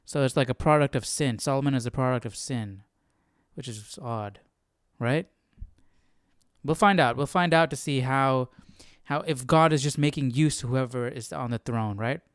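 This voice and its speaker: A man hosts a study livestream in a casual, reflective way. His mid-range voice is smooth and clear, recorded very dry. He often questions things as he thinks aloud. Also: mid-range voice, moderate pace, dry recording. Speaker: Bible study livestream host.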